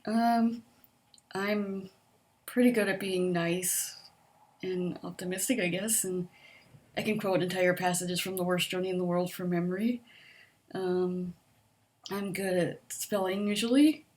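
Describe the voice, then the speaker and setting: A woman with a quiet voice and a mild stutter, answering survey questions in a hesitant, casual chat. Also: fairly low pitch, fairly even intonation, unhurried, long pauses. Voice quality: soft-spoken with a mild stutter. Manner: hesitant, with frequent ums and likes, laughs softly.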